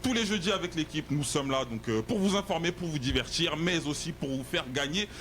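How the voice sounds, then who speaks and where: A young man hosting a casual studio chat show, joking and teasing with a halting delivery. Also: a little high, expressive, fairly bright tone. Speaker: host.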